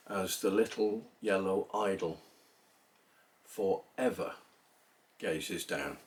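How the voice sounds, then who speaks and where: Slow, dramatic male poetry reciter. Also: fairly low pitch, distant mic. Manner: theatrical, measured delivery with pauses between phrases. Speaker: poetry reader. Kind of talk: dramatic recitation of a narrative poem.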